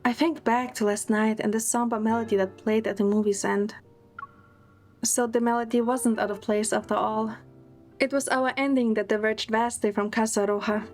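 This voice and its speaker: A woman's voice: a little high, fairly even intonation, moderate pace, darkish tone, soft background music. A woman narrating a scripted first-person romantic story in an audio drama.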